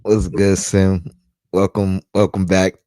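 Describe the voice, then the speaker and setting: A man's voice: deep voice, little pitch movement, slow, mellow, no room sound, studio quality. A man co-hosting a casual fan podcast, laid-back and easygoing, often adding "you know what I mean.". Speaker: podcast co-host.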